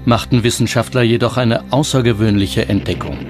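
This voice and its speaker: A man's voice: medium pitch, fairly even intonation, brisk. A man reading scripted documentary narration as the narrator. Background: background music.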